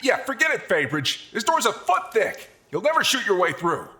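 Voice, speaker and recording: A male actor plays a wisecracking audio drama adventurer with a fairly high, hugely animated voice. He is flippant and cocky, with long reverb around his voice and music underneath. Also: normal speed, slightly rough, heavily acted. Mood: exasperated. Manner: flippant, sarcastic.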